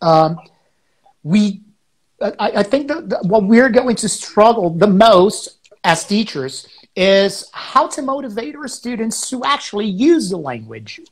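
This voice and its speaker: A man from Brazil, a native Portuguese speaker, co-hosting a teaching podcast and explaining ideas with many pauses and fillers. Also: high-pitched, sing-song. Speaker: podcast co-host, English teacher. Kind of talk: casual educational chat.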